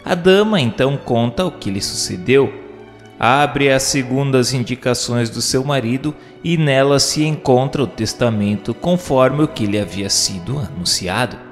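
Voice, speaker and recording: A man reading scripted narration in a formal, measured manner, posing questions and commentary like an audiobook narrator. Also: mid-range voice, lively intonation. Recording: long hall reverb. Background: music.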